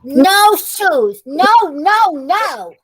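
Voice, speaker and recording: A woman plays a stubborn little boy in a storybook tantrum. The voice is pushed high and swings widely in pitch, hollering with defiance. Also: sing-song, extremely slow, heavily acted, low-quality recording. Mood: defiant tantrum. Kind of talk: children's story read-aloud.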